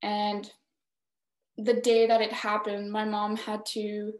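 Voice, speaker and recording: A woman's voice: medium pitch, completely flat delivery, slow, roomy sound. A young woman artist on a video call, talking hesitantly with many fillers. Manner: hesitant, many ums and "essentially". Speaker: exhibiting artist. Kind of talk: panel discussion. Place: home room, indoors. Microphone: video call laptop mic.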